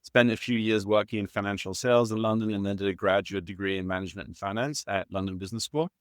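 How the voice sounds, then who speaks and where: A man in his thirties from South Africa with a South African accent, explaining his work at a measured pace as an interview guest, with some fillers and restarts. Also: on the low side, no room sound, studio quality. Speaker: guest, startup founder. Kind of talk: conversational interview answers.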